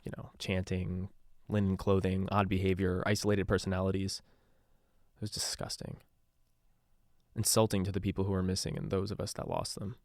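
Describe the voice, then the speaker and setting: Man acting as low-voiced, haunted radio DJ investigator. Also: dry recording, studio quality. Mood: bitter disgust. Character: male radio DJ turned secretive investigator, embittered and haunted. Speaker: radio DJ narrating a taped case file. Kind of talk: confessional podcast drama narration.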